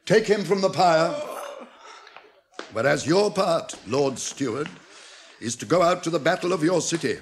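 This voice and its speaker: An actor playing a wise old wizard in a radio drama, grave, stern and authoritative. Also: male voice, a little high, lively intonation, measured pace, pauses often, slight background noise. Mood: grave, commanding.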